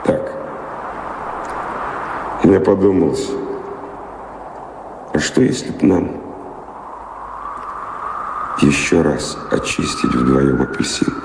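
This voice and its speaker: An actor playing a weary, wistful wanderer and philosopher in a radio drama, musing deliberately with pauses. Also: male voice, very deep voice, gravelly, noisy background, full character voice. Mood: wistful, intimate. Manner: musing, deliberate, pausing storyteller. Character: weary wandering man revisiting his old love, wistful philosopher. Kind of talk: theatrical radio drama acting. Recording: echoey hall reverb, music underneath.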